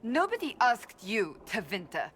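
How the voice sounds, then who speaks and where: A woman's voice: fairly high pitch, huge pitch swings, a little slow, fairly bright tone, heavily acted. A woman playing a stern, earnest warrior of a holy order in a fantasy game, speaking in a formal, intense way. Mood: flustered, defensive. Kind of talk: dramatic fantasy game acting. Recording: reverberant hall with faint ambient noise.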